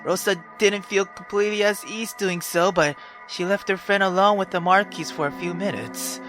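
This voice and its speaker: A man doing gaming commentary and reading story text aloud, excitable, slangy and sweary. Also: sits high, moderate pace, soft background music. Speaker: let's play host.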